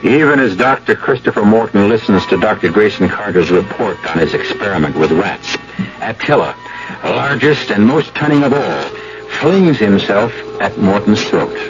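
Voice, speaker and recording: A man's voice: on the low side, animated, a little slow. A man hosting and narrating a radio mystery in an ominous, theatrical scripted style. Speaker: host and narrator. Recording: dull vintage radio broadcast. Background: music.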